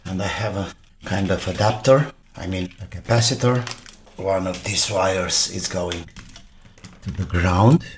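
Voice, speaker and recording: A man thinking aloud while he repairs electronics, muttering to himself in short fragments with pauses. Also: deep voice, creaky, slight background noise. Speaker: electronics repair video maker.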